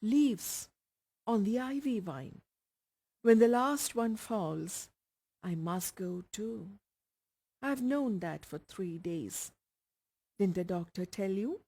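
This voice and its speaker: A woman voicing a sick young woman in a story, resigned, listless and quiet. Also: mid-range voice, expressive, slow pace, long pauses. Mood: resigned, fatalistic.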